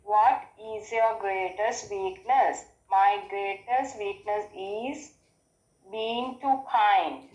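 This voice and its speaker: A woman's voice: medium pitch, very slow, darkish tone. A woman from Sri Lanka with a Sri Lankan accent, a student who hesitantly repeats each question before she answers it. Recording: dull, echoey call audio.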